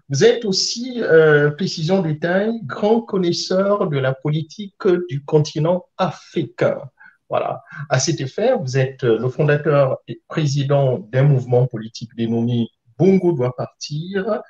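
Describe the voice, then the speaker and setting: A man's voice: mid-range voice, a little mellow, muffled recording. A man from Gabon, co-hosting a live interview with formal introductions and many hesitant fillers, speaking over a remote call. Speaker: co-host.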